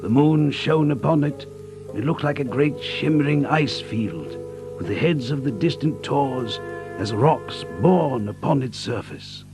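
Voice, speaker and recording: Earnest gentleman sidekick narrating a mystery radio play, grave n suspenseful, music behind him. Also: mid-range voice, expressive, a little slow, vocal fry. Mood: ominous suspense.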